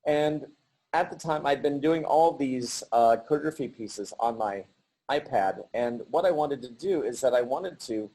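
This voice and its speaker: A middle-aged man giving a halting virtual artist talk over a video call, with many pauses and restarts. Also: medium pitch, warm tone. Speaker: artist presenting his work.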